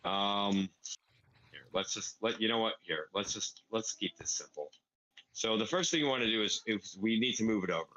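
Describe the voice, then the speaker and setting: A man's voice: lowish voice, animated, normal speed, bright, forceful tone, poor audio. A man explaining a game build casually, step by step, thinking aloud as he plays. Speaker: player explaining a farm build. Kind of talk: casual gaming tutorial.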